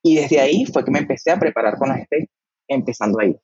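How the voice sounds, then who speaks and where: Man in his 30s, husky voice, halting recall over a dull dry remote line. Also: mid-range voice, expressive, darkish tone, no echo. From Venezuela. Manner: hesitant, repeats words while recalling. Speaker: guest, doctor. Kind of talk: casual interview answers.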